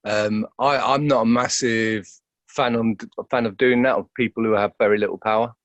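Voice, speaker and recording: A man's voice: mid-range voice, steady tone, brisk, no room sound, highs cut off. A man giving conversational interview answers as an actor, hesitant and often repeating words as he thinks aloud.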